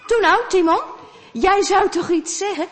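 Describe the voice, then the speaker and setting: A woman's voice: extremely high pitch, measured pace, long reverb tail, voice pushed up. A woman voicing a polite but determined father who urges his son and pleads to be let in. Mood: earnest. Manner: earnest, urging.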